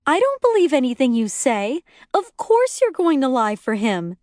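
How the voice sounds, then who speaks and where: A woman's voice: high-pitched, sing-song, moderate pace, darkish tone, no echo, clean studio sound, pitched above the performer's own voice. A woman voicing a hysterical, furious pregnant young wife who shrieks accusations. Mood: bitter accusing.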